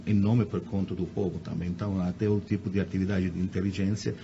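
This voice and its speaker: Halting Italian man, low gravelly voice. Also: little pitch movement. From Italy. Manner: hesitant, repeats words while searching for Portuguese. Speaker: guest lecturer. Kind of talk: radio interview. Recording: muffled radio recording with faint noise.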